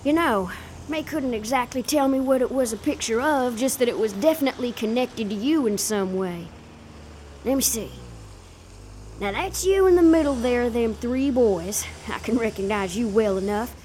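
An actress playing a warm, plucky, down-at-heel old woman with a folksy manner in a period audio drama. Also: on the high side, lively intonation, normal speed, a little hiss or hum, close to an everyday voice. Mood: gentle, curious. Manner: folksy, colloquial, affectionate.

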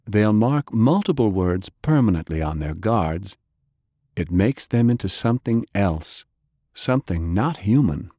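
A man's voice: lowish voice, expressive, measured pace, mellow, dry recording, clean studio sound. A man voicing an easygoing, plain-talking male magic knight who explains things conversationally, in an audiobook. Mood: explaining.

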